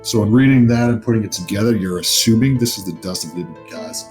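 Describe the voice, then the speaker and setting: A man's voice: fairly low pitch, animated, quick pace, a little mellow. A man narrating as game master of a tabletop horror roleplaying game, describing scenes in a conversational, improvised way. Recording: music behind the voice. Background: music.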